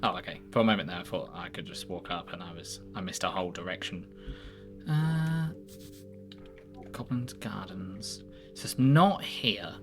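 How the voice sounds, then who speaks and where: A man's voice: low voice, normal speed, over music. A man streaming a game and chatting playfully, thinking aloud and poking fun at himself. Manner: playful, self-deprecating, thinks aloud while playing. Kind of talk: casual gaming chat.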